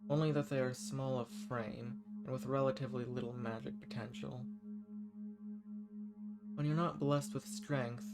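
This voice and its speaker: An ancient banished villain, played by a man, speaks in an intimate roleplay over loud music. He is smug and formal, teasing and flirtatious, with soft menace under the condescension. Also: medium pitch, steady tone, moderate pace, long pauses, natural voice. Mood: condescending, admiring. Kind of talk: intimate audio roleplay monologue.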